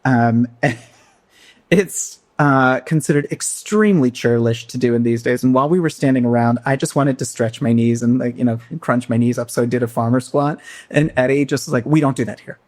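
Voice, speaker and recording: A man's voice: mid-range voice, fairly quick. Laughing male podcast co-host. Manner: animated storyteller, laughs often. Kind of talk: casual chat.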